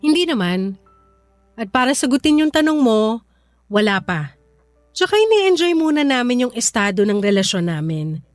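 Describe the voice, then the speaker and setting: Filipino radio drama: young woman, guarded with an old flame, high expressive voice, music underneath. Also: moderate pace, pauses often, no room sound, clean studio sound. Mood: sympathetic, reserved.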